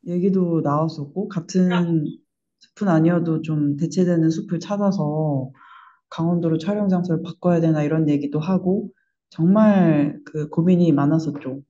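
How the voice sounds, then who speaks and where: A woman with a lowish, very mellow voice speaks reflectively in an interview. She explains earnestly and in a measured way, on a slightly dull recording. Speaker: creative team member being interviewed.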